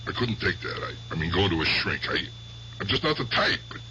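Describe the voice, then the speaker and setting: A man's voice: deep voice, very animated, brisk, pushed and bright, a little hiss or hum, exaggerated voice, rough recording. An American actor playing a tormented, aging tough-guy gangster in a radio drama, with agitated, repetitive, slangy speech. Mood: uneasy, insistent. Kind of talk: 1970s radio drama acting. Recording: old radio drama with music behind.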